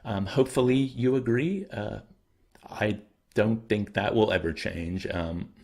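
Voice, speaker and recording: A man hosting his own channel, talking casually and thoughtfully to his viewers, often pausing to weigh his words, his throat a little dry and scratchy. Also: fairly low pitch, a little mellow. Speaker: channel host.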